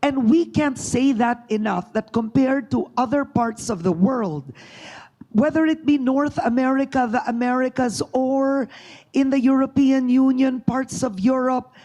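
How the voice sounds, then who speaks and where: Slow, engaging woman moderating a panel. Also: on the high side, dark tone. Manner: engaging, addresses the audience directly. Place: forum room before an audience.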